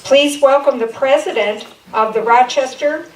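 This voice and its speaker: A woman's voice: high-pitched, moderate pace, distant mic. A woman host reading a formal introduction of a guest speaker, going steadily through a list of credentials. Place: meeting room. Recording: echoey room recorded from afar, faint noise.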